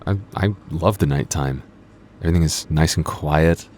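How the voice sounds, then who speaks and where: A man acts out a young male vampire working the night shift, with an extremely low voice. He is laid-back and dryly teasing, stumbling slightly over his words in close, casual ASMR roleplay dialogue. Some noisy ambience sits behind him. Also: normal speed, darkish tone. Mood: relaxed. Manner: hesitant, stammering, dryly teasing.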